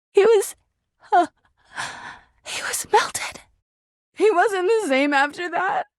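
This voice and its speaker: A woman's voice: extremely high pitch, dry recording, studio quality, heavily acted. A woman voicing a clingy, jealous talking laundry hamper in a video game, gushing sweetly and flipping into bitter drama. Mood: heartbroken, agitated. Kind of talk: video game character voice acting.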